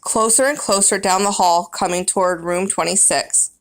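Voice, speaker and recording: Expressive woman reading a children's story. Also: medium pitch, moderate pace. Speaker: children's book reader. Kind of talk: expressive storybook narration as a first-person pet hamster.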